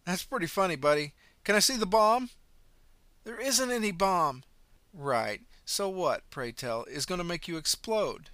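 A man voicing a gruff, irritable driver who talks with blunt scorn and sarcasm. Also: on the high side, expressive, measured pace, few pauses, a bit bright, dry recording. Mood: angry sarcastic.

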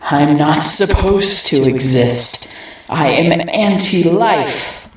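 A performer voicing a cold, cruel female entity of nothingness, speaking in slow, detached pronouncements. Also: medium pitch, animated. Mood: menacing. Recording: heavy echo, distant from mic.

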